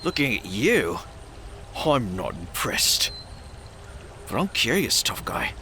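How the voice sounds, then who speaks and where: A man acts a brash, hard-drinking prizefighter with a short temper. His pitch swings wildly as he swaggers and talks matey in an audio drama. The recording has background noise. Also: sing-song, takes it slowly, pushed and bright, full character voice. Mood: angry, taunting. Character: cocky, hot-tempered, hard-drinking male champion fighter.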